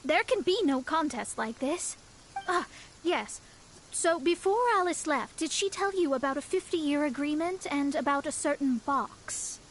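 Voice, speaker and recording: A woman's voice: high-pitched, animated, unhurried, slight background noise, low-quality recording. A woman voicing a proud young astrologer in a game, grand and lecturing in manner. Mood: lofty. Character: proud young female astrologer, haughty and mystical. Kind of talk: game voice acting. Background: game music.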